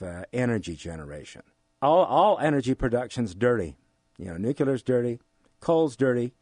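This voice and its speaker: An older man with an American accent explains things in an interview, haltingly, repeating phrases for emphasis. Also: medium pitch, darkish tone, dry recording, studio quality. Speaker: economics instructor giving an interview.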